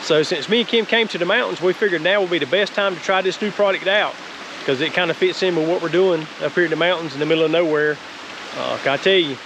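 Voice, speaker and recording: Man, Southern US accent, fairly high pitch, folksy rambling delivery, casual vlog review, outdoor recording with background hiss. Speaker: homestead vlogger reviewing a product. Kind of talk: casual unscripted product review. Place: outdoors, wooded creek, then cabin deck.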